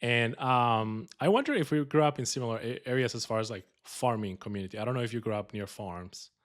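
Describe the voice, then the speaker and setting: A man's voice: medium pitch, fairly quick. A man from Colombia with a Colombian Spanish accent, hosting a podcast in an upbeat, joking, casual way with many fillers. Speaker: podcast host.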